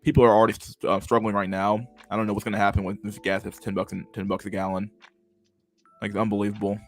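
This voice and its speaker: A man in his twenties with a stammer and a lisp, chatting casually to his livestream chat, halting and restarting words and swearing freely. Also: fairly low pitch, flat delivery, soft background music. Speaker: livestream host reading chat.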